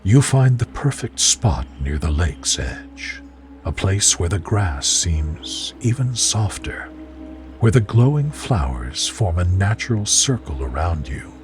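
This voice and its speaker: A man reading a scripted guided sleep visualization in a soothing, gentle, measured way, as a narrator of bedtime relaxation stories. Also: low-pitched, sing-song. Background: music behind the voice.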